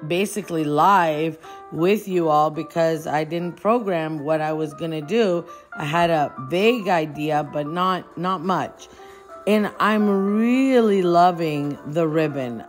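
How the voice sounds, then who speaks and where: A woman, chatting and thinking aloud as she gives a casual decorating demonstration. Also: low-pitched. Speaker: home decor vlogger. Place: furnished living room at home, indoors.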